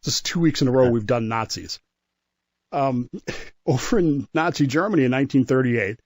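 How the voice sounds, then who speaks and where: A man's voice: a little high, no room sound. Dry-sounding man telling history conversationally. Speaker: regular history commentator on a radio talk show.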